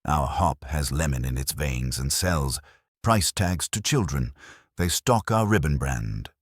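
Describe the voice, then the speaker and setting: A man voicing an imperious detective boss in an audiobook, with formal, measured, pompous diction. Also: rumbling low, expressive, husky, no echo, clean studio sound, exaggerated voice. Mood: stern, resolute.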